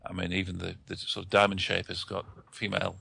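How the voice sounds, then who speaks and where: Gravelly low-voiced man, speaking haltingly. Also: completely flat delivery, bright, forceful tone, no echo. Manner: hesitant, halting delivery. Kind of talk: discussion of esoteric symbolism.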